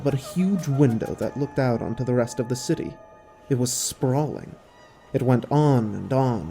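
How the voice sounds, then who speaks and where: A man's voice: medium pitch, warm tone. A man reading scripted story narration for an audio drama, with music underneath.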